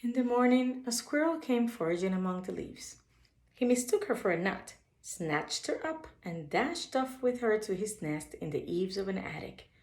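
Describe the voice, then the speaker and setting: A woman's voice: medium pitch, moderate pace. Echoey woman reading a bedtime story. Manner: expressive storytelling for young children. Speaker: storyteller reading a picture book. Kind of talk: read-aloud bedtime story with short asides on the pictures. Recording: echoey, roomy sound.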